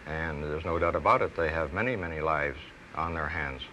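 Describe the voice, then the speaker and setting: Very deep, forceful man, halting and formal. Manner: halting, formal, careful wording. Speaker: former airline pilot. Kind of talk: measured interview. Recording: faint noise, 1970s archive TV film.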